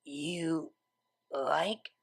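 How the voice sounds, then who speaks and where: Man voicing a halting young unicorn mare, creaky-voiced. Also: a little high, exaggerated voice. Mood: shy, hopeful. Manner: hesitant, halting, stammering. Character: young female unicorn DJ pony, flustered, self-doubting, lovestruck.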